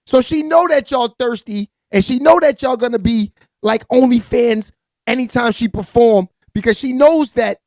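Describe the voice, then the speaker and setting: A man hosts a live hip-hop gossip stream. He talks in a hyped, slangy way and keeps shouting out his viewers. His voice sits close and dry, with no room sound around it. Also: extremely high pitch, normal speed, no room sound. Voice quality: raspy. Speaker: live-stream entertainment news host.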